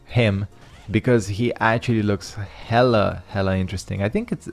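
A man's voice: on the low side, steady tone, moderate pace, vocal fry, soft background music. A young man whose first language is Hungarian, casually rambling over his own fighting game matches with loose slang and lots of fillers. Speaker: gaming channel host. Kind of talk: casual commentary over gameplay.

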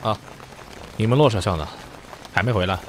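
An actor playing an earnest, loyal young army officer in a Chinese audio drama. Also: male voice, fairly low pitch, brisk, a little hiss or hum, close to an everyday voice. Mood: authoritative, concerned.

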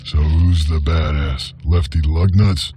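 Rumbling, very deep frontier bounty hunter. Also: male voice, slow, faint music behind. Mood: terse, grim. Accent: American Western drawl. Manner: terse, deadpan. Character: gruff, laconic male bounty hunter of the frontier. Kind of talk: western video game acting.

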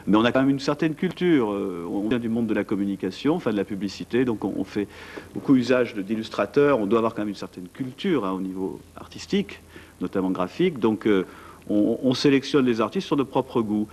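A man's voice: lowish voice, animated, a little fast, darkish tone, a little hiss or hum. A man in his forties, a guest at a studio desk mic, talking chattily with many fillers and restarts about what he organizes. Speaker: art event organizer. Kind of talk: TV studio interview.

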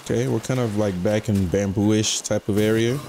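A young man with a raspy, just-woken-up voice, giving laid-back, slangy commentary while playing a video game. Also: on the low side, steady tone, moderate pace, mellow, slight background noise. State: just woke up. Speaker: gaming channel host. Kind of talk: casual gameplay commentary.

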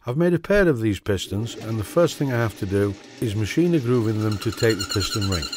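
A middle-aged man with a Yorkshire accent, giving a steady, methodical workshop tutorial voice-over about model engine repair. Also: lowish voice, slight background noise. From Yorkshire, England. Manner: steady, methodical, plain-spoken instruction. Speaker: model engineering channel host.

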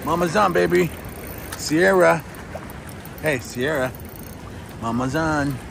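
A man's voice: on the high side, slow, creaky, noisy background. A man hosting a casual fishing vlog outdoors by a river, calling out to his viewers. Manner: excited, addresses viewers as guys. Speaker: fishing channel host.

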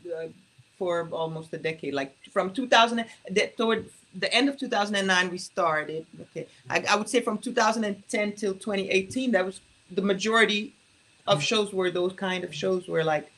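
Woman from Congo, native French speaker, bright, lively storyteller on a video call. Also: medium pitch, normal speed, rough recording. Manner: lively, laughs often, tells long stories. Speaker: interview guest, singer. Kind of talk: casual video call interview. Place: home room indoors.

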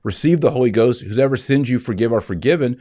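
A man in his forties reading scripture and explaining it in an earnest, emphatic way with reflective pauses. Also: medium pitch, mellow, no echo, studio quality. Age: 40s. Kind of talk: scripture reading with devotional commentary. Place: indoors, wood-panelled office, seated at a desk.

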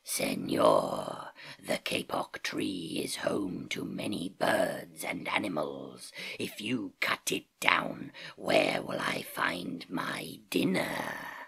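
A reader doing a low growling jaguar. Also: huge pitch swings, slow, gravelly, a bit bright, husky, exaggerated voice. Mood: menacing. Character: male jaguar, growling predator.

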